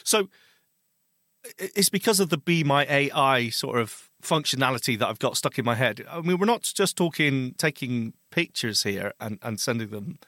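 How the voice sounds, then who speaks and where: A man's voice: mid-range voice, animated, normal speed, fairly bright tone, no room sound, clean studio sound. A man co-hosting a podcast, discussing tech news in a hesitant way with many restarts and fillers. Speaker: podcast co-host. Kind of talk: casual tech news chat.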